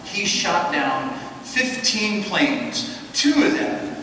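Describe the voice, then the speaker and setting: An actor playing a solemn elder angel narrating in a measured way in a live radio play. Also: male voice, high voice, sing-song, bright, forceful tone, slight background noise, full character voice. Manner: measured storytelling. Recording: echoey hall from far off.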